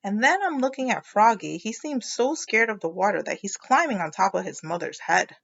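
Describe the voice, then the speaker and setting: A woman's voice: medium pitch, lively intonation, normal speed, gravelly, a bit bright, dull audio. A woman teacher leading a children's read-aloud lesson, explaining as she thinks aloud and prompting listeners with questions.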